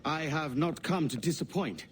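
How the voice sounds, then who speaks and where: A man's voice: on the high side, moderate pace, slight background noise, close to an everyday voice. A man playing a glib young pirate bluffing as an impostor in a game, guarded, casual and evasive. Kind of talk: dramatic game acting.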